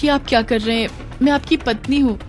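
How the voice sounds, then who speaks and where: Lady doing a meek young village wife, high voice, pleading and devoted, music behind. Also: fairly even intonation, a little fast, natural voice. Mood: anxious, pleading. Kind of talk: Hindi moral story dramatization.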